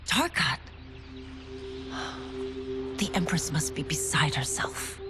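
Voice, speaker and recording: Low-voiced earnest constable lady, determined, music under it. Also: sing-song, slow, full character voice. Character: resolute female constable, former royal guard.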